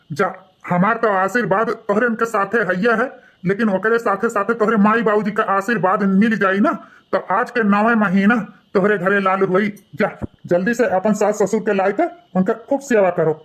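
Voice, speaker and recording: Guy doing a stern cartoon pandit, awadhi accent, high bright voice, preachy n scolding. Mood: approving, blessing. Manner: preachy, admonishing. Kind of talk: melodramatic moral cartoon voice acting.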